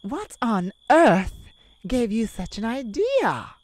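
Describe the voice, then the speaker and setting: A woman's voice: fairly high pitch, very animated, a little mellow, exaggerated voice. A woman voicing a sassy, kind-hearted cat friend in an ASMR roleplay, theatrical and teasing as she scolds the listener in a motherly way. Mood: caring, sassy. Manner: theatrical, teasing, drawn-out emphasis. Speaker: audio roleplay performer.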